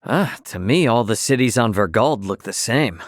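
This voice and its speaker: A man's voice: mid-range voice, animated, normal speed, no echo, studio quality, close to an everyday voice. An actor playing an easygoing, cocky young male starship pilot and swordsman in a video game, with breezy, slangy, informal delivery. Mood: casual, thoughtful.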